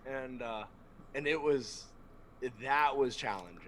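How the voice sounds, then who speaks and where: A man's voice: mid-range voice, takes it slowly, over a noisy crowd. A man co-hosting a podcast, chatting casually, laughing often and slipping into quoted voices as he tells stories. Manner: laughing, animated storyteller, slips into quoted voices. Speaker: podcast co-host. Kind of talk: casual chat.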